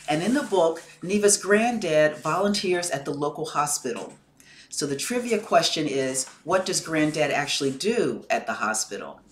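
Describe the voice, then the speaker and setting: A middle-aged woman presents to camera as an author, posing a prepared quiz question. The room puts a clear echo on her voice. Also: low voice. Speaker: children's author. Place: indoor room by a window. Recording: echoing room, camera at a distance.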